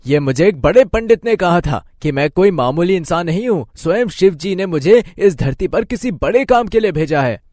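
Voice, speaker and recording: A man's voice: high voice, lively intonation, normal speed, few pauses, darkish tone, no room sound, voice pushed up. A boastful young village layabout from a cartoon moral tale, voiced by a man. He speaks in a raised, exclaiming voice that sounds whiny and self-important. The naive son is heard close and dry. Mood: boastful, indignant. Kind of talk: cartoon moral story voice acting.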